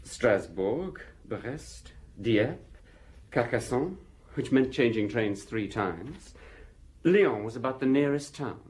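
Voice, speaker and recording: A man's voice: mid-range voice, expressive, slow pace, darkish tone, slight background noise. An actor playing a dutiful, respectful junior policeman in a radio drama, reporting facts in an orderly way. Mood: matter-of-fact.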